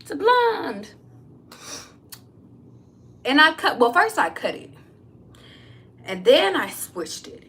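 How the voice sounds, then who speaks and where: A woman's voice: high voice, big pitch swings, slow pace, pauses often, a bit bright. A woman, a tarot reader, telling a personal story to her viewers in a blunt, candid way, swearing freely and talking straight to the audience.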